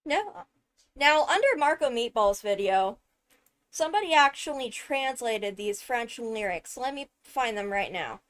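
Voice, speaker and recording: A woman giving enthusiastic fan commentary, thinking aloud with dramatic, deliberate phrasing and emphatic pauses. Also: a little high, lively intonation, a bit bright. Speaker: video creator analysing a game character.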